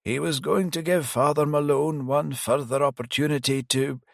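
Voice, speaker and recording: A man voicing an elderly priest who speaks gently and slowly, with sorrow, pitched well above his own voice. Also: steady tone, vocal fry, dry recording, clean studio sound. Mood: sorrowful. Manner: soft, slow and sighing, higher than the narrator.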